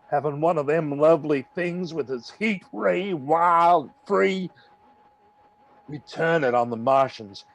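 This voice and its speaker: A man's voice: fairly high pitch, full character voice. A man playing a fanatical artilleryman survivor with grandiose dreams of power, in a rambling radio play reading.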